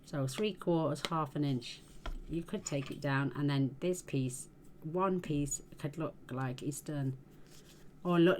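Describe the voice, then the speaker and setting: A woman hosting a casual card-making tutorial, chatting in a homely way as she works, her voice a little croaky. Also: low-pitched, noisy background. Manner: chatty, homely, talks while working. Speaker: card-making channel host.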